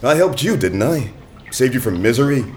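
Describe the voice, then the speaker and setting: A wry, hardboiled private eye played by a man in a noir radio play. He delivers wordplay deadpan, yet his mid-pitched voice rises and falls freely. He sounds dry and streetwise. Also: moderate pace, a little hiss or hum, close to an everyday voice. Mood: wry, persistent. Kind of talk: noir radio drama acting with narration.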